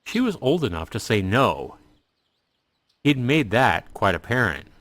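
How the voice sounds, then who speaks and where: A man's voice: mid-range voice, very animated, unhurried, fairly bright tone, no room sound. A man narrating a story aloud in a dramatic storytelling style, as a host reading to viewers. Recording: music behind the voice. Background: music.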